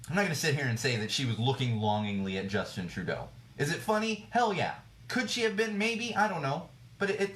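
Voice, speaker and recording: A man hosting a talk show, giving blunt, indignant commentary on the news, repeating phrases for emphasis, and a little hoarse as he loses his voice. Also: medium pitch, a bit bright, roomy sound. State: slightly hoarse, losing his voice. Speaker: podcast host. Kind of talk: opinionated news commentary rant.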